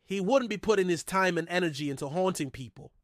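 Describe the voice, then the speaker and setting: A man with a slightly high voice tells a true mystery in a conversational style. He sounds informal and musing, like he is thinking the case through and putting questions to the listener. The recording is dry and close, with very little room sound. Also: fast, no echo. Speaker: host of a mystery storytelling channel.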